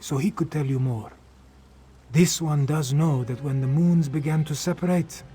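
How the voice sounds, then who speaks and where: A man's voice: medium pitch, dark tone, a little hiss or hum, close to an everyday voice. A man voicing a humble, loyal cat-folk warrior in a fantasy game, speaking formally in the third person.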